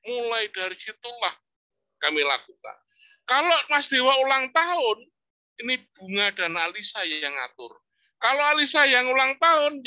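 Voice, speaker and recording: Older man, professor giving a campus talk, very bright tone, storytelling lilt. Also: extremely high pitch. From Indonesia. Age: 60s. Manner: storytelling, lively rising emphasis, religious exclamations. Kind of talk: informal religious study lecture.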